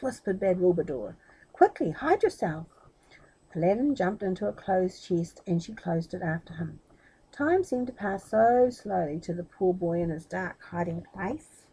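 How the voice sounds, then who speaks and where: A woman's voice: lowish voice, dark tone, breathy edge, low-quality recording. A woman reading a children's story expressively, dramatic and speaking directly to her listeners.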